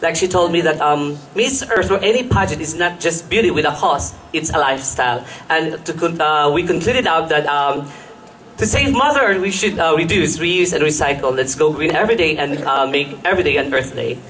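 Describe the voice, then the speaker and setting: A man's voice: fairly high pitch, fairly quick, a bit bright, a little hiss or hum, rough recording. A young man answering a pageant question on stage, rambling with many fillers and restarts in a gushing manner. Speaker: pageant contestant. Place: live event stage at a mall.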